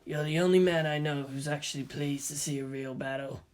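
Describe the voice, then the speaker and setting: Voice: mid-range voice, steady tone, a little fast, darkish tone, voice pushed down, lightly acted. A man voicing a brooding, resentful teenage schoolboy in an audiobook, with blunt, subdued grumbling. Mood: sullen, bitter. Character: brooding, resentful tough schoolboy, worried older brother.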